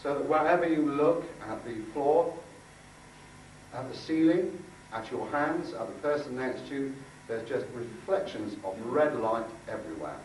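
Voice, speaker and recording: A lower-pitched man gives an informal workshop talk to a group. He speaks in a halting, conversational way, circling back over phrases. He is picked up at some distance from the microphone in a very echoey room. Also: expressive. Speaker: workshop speaker and aura healer. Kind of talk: informal talk with demonstration and guided visualisation. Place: indoor room before a group. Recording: echoey room, distant mic, faint noise.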